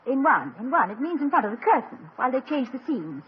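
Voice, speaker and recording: An actress playing a gracious, witty college president's wife in poised, teasing 1950s radio comedy style. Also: female voice, high-pitched, big pitch swings, fast, slightly rough, exaggerated voice. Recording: dull lo-fi 1950 radio broadcast.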